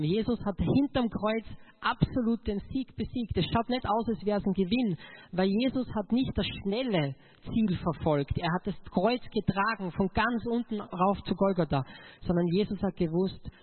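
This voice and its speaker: High-pitched Austrian man preaching, phone-like sound. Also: moderate pace, slightly rough. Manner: emotional, emphatic, addresses listeners directly. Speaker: motivational speaker giving a testimony.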